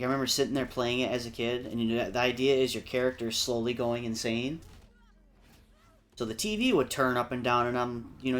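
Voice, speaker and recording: A man's voice: mid-range voice, a bit bright. A man chatting casually as a panelist on a livestream round table, swearing freely. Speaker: panelist in a video game discussion.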